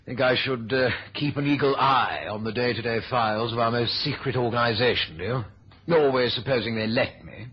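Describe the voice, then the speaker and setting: An actor playing a dry, amused senior British politician in a 1970s radio drama, urbane and clipped. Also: male voice, mid-range voice, husky, close to an everyday voice. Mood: dry, unbothered. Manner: urbane, unhurried, clipped establishment speech. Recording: dull old radio recording.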